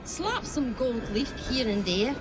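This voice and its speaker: An actress playing a brisk, cheery Scottish working woman in a video game, chatty and colloquial. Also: fairly high pitch, sing-song, loud music behind the voice, low-quality recording. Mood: cheerful, proud. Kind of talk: video game cutscene acting.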